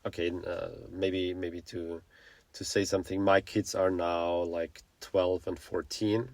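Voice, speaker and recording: A low-pitched male podcast host in his forties with an Austrian accent and German as his first language. The sound is dry and close, and he chats informally and frankly, feeling for his words as he goes. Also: little pitch movement, measured pace, a little mellow, no echo. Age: 40s. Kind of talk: casual podcast conversation.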